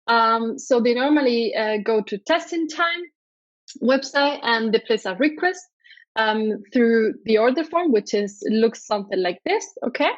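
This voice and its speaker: A woman's voice: fairly high pitch, lively intonation, unhurried, no echo, highs cut off. A woman from Spain with a Spanish accent, giving a conference talk over a video call, with lots of ums and uhs. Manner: hesitant, frequent ums and uhs. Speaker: conference speaker. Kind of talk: conference presentation.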